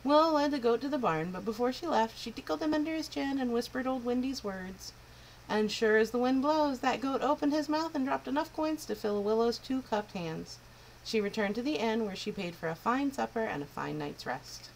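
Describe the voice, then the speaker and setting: Expressive woman reading a children's story. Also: on the high side, normal speed, gravelly, slight background noise, poor audio. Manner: expressive, lilting narration. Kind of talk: storybook read-aloud.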